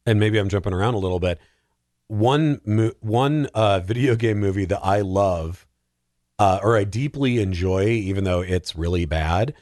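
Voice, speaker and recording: A man's voice: lowish voice, normal speed, darkish tone, no room sound. A man chatting casually as a film podcast panelist, rambling and joking with dry humor. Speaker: podcast panelist discussing films. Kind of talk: casual comedic chat.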